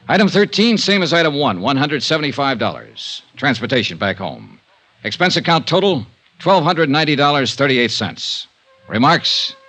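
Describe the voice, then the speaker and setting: Hard-boiled American insurance sleuth, wry and punchy, low-leaning lively voice, old-time radio detective drama. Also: male voice, exaggerated voice. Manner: clipped, punchy phrasing. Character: hard-boiled male insurance investigator, indignant, wry, world-weary. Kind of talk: 1950s radio detective acting and narration. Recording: vintage 1950s radio recording.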